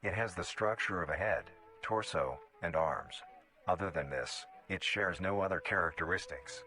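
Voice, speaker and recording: A man's voice: deep voice, expressive, normal speed, pushed and bright. A man reading scripted documentary-style narration in a formal, detached, instructional manner. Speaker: narrator of an analog horror video. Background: music.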